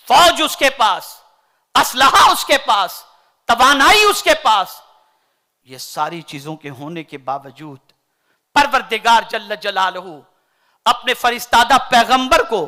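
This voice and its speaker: A man from India, preaching a sermon in an oratorical style that swings between loud declaiming and soft recitation. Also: extremely high pitch. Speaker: Islamic preacher.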